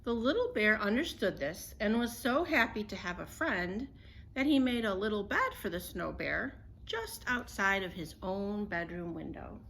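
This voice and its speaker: A woman storyteller reading a children's picture book aloud in an expressive, unhurried way. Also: medium pitch, rough recording.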